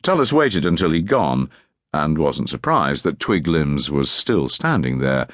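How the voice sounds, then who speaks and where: A man's voice: a bass voice, big pitch swings, unhurried, dry recording, studio quality. A man reading historical fiction as a scripted audiobook narration.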